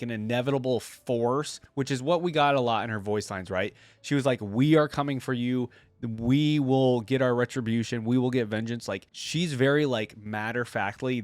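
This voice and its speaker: A man's voice: mid-range voice, no room sound. Casual man commentating, very dry sound. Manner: rambling, conversational, filler-heavy with "like". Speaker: reaction video host. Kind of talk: casual off-the-cuff commentary to viewers.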